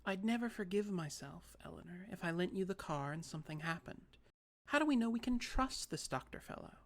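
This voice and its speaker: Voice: medium pitch, lively intonation, brisk, a little hiss or hum, pitched above the performer's own voice, natural voice. An audiobook reader voicing a prim, disapproving, self-righteous sister with a lifted, precise voice. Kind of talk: audiobook character voice.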